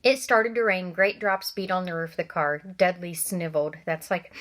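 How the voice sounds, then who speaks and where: A woman, a teacher, reading a novel aloud to children, expressive and stopping for asides to her listeners. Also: lowish voice, normal speed.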